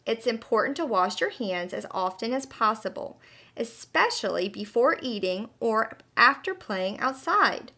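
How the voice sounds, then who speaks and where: A kindly female butterfly from a children's storybook, read by a woman. She teaches patiently and encouragingly in a high, bright voice, taking her time over each point. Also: very animated, unhurried, full character voice. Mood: instructive. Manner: instructive, encouraging, emphatic.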